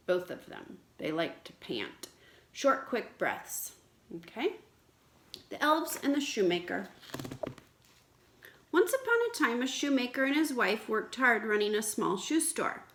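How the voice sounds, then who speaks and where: A woman teacher reading aloud to children in an expressive, explanatory way, with questions for the listeners. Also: on the high side. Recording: echoey room.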